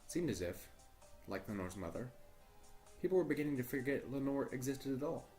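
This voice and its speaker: A man playing an earnest, troubled journalist, narrating a horror audio drama in a deliberate, formal storytelling way. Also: medium pitch, animated, over loud music, natural voice. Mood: grave, earnest. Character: earnest, troubled male journalist recording his search for missing partner. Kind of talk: first-person horror audio drama monologue.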